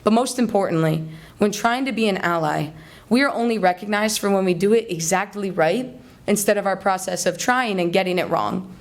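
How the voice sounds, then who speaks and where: Young American woman, student orator. Earnest, deliberate delivery at a middle pitch, polished persuasive public speaking. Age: young adult. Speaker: student speech contest finalist. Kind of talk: rehearsed persuasive public speech. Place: college hall, before a live audience.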